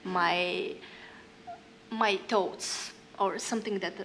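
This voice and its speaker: A young woman musician from northern Kazakhstan, a native Russian speaker, talking on a panel in halting English with many fillers and reading from her diary. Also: medium pitch, fairly even intonation, fairly bright tone, a little hiss or hum, low-quality recording. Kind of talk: panel talk with read-aloud diary. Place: hall panel stage.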